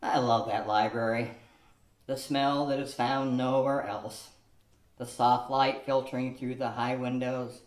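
A man's voice: medium pitch, flat delivery, a little slow, lightly acted. An older man playing Elmer, an earnest, rueful husband, in an amateur radio play, reading plainly and wistfully into a stand mic. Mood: hopeful. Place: small studio with a draped backdrop. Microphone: stand mic at chest height. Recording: echoey room.

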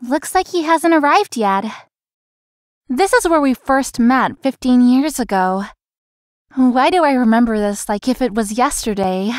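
Young female florist, high pitch, friendly, self-doubting, visual novel dialogue, dry studio sound. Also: expressive, a little slow, no room sound. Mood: inward, anxious. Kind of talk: scripted anime-style game dialogue and inner monologue.

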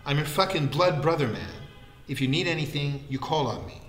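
A man voicing an exuberant, warm-hearted young man in an audiobook, excitable and slangy. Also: medium pitch, moderate pace, roomy sound, in a large hall, lightly acted, low-quality recording. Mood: effusive, delighted.

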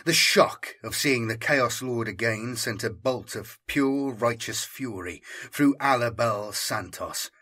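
A man's voice: mid-range voice, slow, few pauses, bright, forceful tone, breathy edge. A man reading scripted fiction as an audiobook narrator, with intense, theatrical delivery.

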